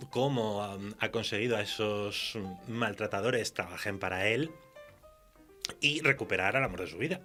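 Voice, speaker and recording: Low-voiced Spanish man, halting radio guest. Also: pushed and bright, soft background music. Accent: Spain. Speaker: guest author. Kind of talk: conversational radio interview.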